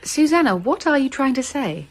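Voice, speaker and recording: An actress playing a kindly, composed young Victorian gentlewoman in a radio drama, well-spoken and emphatic. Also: high voice, sing-song, moderate pace. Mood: warm, gentle.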